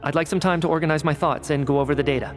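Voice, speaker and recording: An actor playing an analytical male researcher in a video game cutscene, explaining in a measured, cerebral way. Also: fairly high pitch, fairly even intonation, natural voice. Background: game score music.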